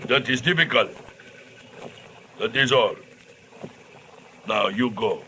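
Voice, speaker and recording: A man's voice: medium pitch, takes it slowly. An actor playing a curt, evasive old curse-working man in a radio drama, speaking terse, broken English with solemn pronouncements. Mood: defensive, solemn. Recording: noisy old radio broadcast recording.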